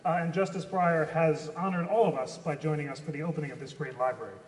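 A man's voice: fairly high pitch, fairly even intonation, fast talker. A man, an interviewer and law professor, speaking hesitantly with fillers onstage. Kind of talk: casual onstage remarks. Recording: distant, echoey hall mic with noise.

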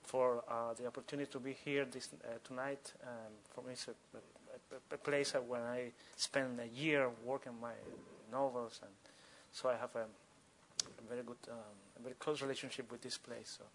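A male author with a Cuban accent, a native Spanish speaker, talks off the cuff at a live event. He often repeats words and breaks off phrases, in a mid-pitched, creaky voice. Faint room noise sits behind him. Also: flat delivery, normal speed, few pauses, fairly bright tone, poor audio. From Cuba. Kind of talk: spontaneous answers at a live literary talk.

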